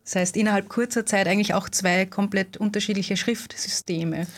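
A woman's voice: medium pitch, steady tone, moderate pace. A woman interviewing a guest, asking leading follow-up questions and summing up his answers.

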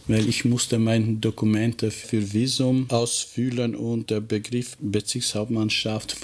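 Slow, low-voiced man with an Albanian accent. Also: a little hiss or hum. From former Yugoslavia. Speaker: teacher being interviewed. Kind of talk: interview answers in a second language.

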